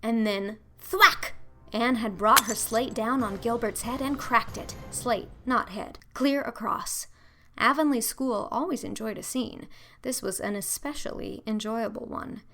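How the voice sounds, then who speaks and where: A woman's voice: on the high side, unhurried, a bit bright, a little hiss or hum. A woman reading a children's story as scripted narration, in a theatrical, emphatic storytelling manner. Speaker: audiobook narrator.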